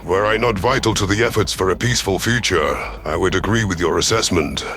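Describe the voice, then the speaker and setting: A man voicing a solemn, remorseful reptilian alien predator with measured, formal speech in an audio sci-fi story. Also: deep voice, fairly bright tone, a little hiss or hum, voice pushed down.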